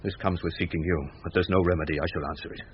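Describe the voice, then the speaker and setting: An actor playing a passionate, betrayed sea captain, grave and declamatory, in a Shakespearean radio drama. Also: male voice, low-pitched, steady tone, rapid-fire, slight background noise, natural voice. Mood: resigned. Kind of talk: impassioned Shakespearean radio acting. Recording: dull, band-limited 1970s radio recording.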